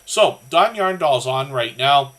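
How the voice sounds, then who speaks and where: A man's voice: mid-range voice, expressive, slow pace, fairly bright tone. A Canadian man with a slightly scratchy voice hosting a casual livestream, rambling along in a friendly way as he talks to his chat. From southern Ontario, Canada. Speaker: livestream host of a creator community channel.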